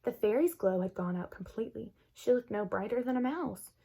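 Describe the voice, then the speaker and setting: A woman reading a children's story aloud expressively, with dramatic emphasis and hushed moments. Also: fairly high pitch, animated, a little fast, gravelly, husky. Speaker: children's book read-aloud host.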